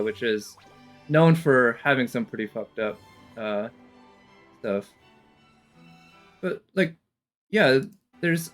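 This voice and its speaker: A man's voice: mid-range voice, fairly bright tone. A man giving casual, off-the-cuff reaction commentary as a streamer, thinking aloud analytically with casual swearing.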